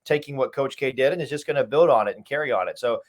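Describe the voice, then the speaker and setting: A man with a medium-pitched voice talks at a brisk clip. He sounds like a sports announcer being interviewed, giving casual analysis in an emphatic, conversational way. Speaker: radio sports play-by-play announcer, interview guest.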